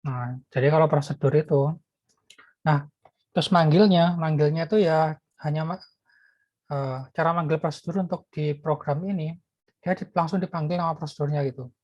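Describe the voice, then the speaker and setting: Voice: medium pitch, steady tone, normal speed, pauses often, darkish tone, no echo, highs cut off. Lecturer thinking aloud over code. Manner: thinks aloud with many fillers and restarts. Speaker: programming lecturer. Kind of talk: live coding lecture.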